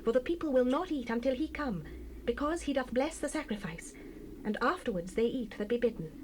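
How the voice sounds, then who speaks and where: An eager young village maiden in a Bible audio drama. Her voice is high and she speaks in archaic phrasing over faint cassette hiss. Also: expressive, brisk, darkish tone. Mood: eager, helpful. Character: eager young maiden at the well giving directions. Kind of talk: dramatized scripture reading. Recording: hissy 1970s cassette rip.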